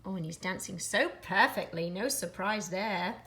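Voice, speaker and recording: A woman's voice: mid-range voice, moderate pace, fairly bright tone, slight background noise. A woman co-hosting a children's storytime, commenting on picture book pages and exclaiming to young listeners.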